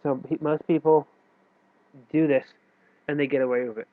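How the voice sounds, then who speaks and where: A man's voice: fairly high pitch, fairly even intonation, moderate pace, no echo. Nasal man with a cold, tinny recording. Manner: loose, self-correcting explanation with fillers. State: stuffy with a cold. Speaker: chess teacher. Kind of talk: casual chess tutorial explanation. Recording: tinny, dry phone-like recording.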